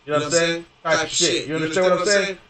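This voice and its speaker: A young man with a somewhat high voice tells a long personal story and talks on casually, swearing and circling back with "you know what I mean." He sits well back from the mic, and the room rings with heavy echo. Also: fairly even intonation, fast talker, a crowd in the background. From Houston, Texas. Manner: profane, rambling, many fillers and restarts. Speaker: gaming streamer. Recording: very echoey room, distant from mic.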